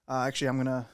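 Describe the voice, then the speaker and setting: A man's voice: medium pitch, clear, resonant tone, no echo. A young man from Romania hosting a podcast, chatting casually, joking and swearing a lot. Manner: profane, joking, rambling. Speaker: podcast host. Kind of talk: casual chat.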